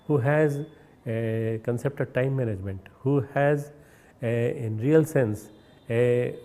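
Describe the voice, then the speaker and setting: A man with an Indian accent giving a recorded spiritual message, earnest and instructive, often pausing and restarting words. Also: mid-range voice, warm tone. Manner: hesitant, repeats and restarts words. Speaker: spiritual leader.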